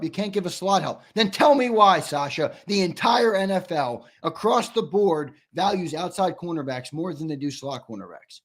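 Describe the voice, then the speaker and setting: Man, sports talk host, slightly high voice, hoarse, argumentative, repeats points for emphasis. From United States. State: losing his voice, hoarse. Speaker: sports show host reading viewer comments. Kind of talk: live-stream sports talk.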